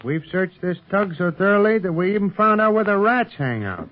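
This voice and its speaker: An actor playing a folksy, grumbling adventurer sidekick with colloquial speech in a 1930s radio serial. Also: male voice, sits high, lively intonation, brisk. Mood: exasperated. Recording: noisy, dull 1930s radio recording.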